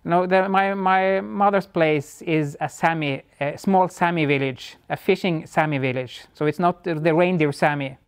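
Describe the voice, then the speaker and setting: A man's voice: on the high side, moderate pace, few pauses, clean tone, no echo. A man from northern Norway with a Norwegian accent, an engineer talking casually as an interview guest, with thoughtful restarts. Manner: thoughtful, frequent restarts and fillers.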